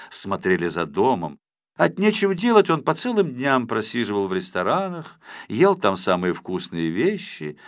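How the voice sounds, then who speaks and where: A man reading a children's story as a scripted audiobook narrator, in an expressive, measured storytelling manner. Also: on the low side, no echo, clean studio sound.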